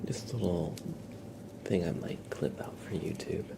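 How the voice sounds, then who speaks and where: A man whispering softly and breathily as an ASMR live streamer, chatting casually and gently with viewers. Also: low voice, normal speed, background noise. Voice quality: breathy whispering and soft murmuring, gravelly.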